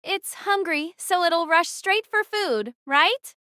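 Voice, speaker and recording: A woman's voice: extremely high pitch, expressive, slow pace, bright, forceful tone, no room sound, studio quality, exaggerated voice. A woman voicing a young female squad captain who gives firm, decisive orders in an audio drama. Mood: commanding. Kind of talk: fan audio drama acting.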